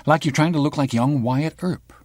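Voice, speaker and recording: A man reading aloud and voicing a sharp-tongued young woman reporter who fires pointed, needling questions. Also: a little high, moderate pace, no room sound, clean studio sound, pitched above the performer's own voice, lightly acted. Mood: probing.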